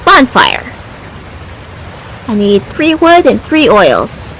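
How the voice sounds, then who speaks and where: A woman's voice: fairly high pitch, huge pitch swings, takes it slowly, a bit bright, slight background noise, rough recording. A woman casually commentating on her video game playthrough and reading game text aloud. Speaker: gamer playing and reading aloud.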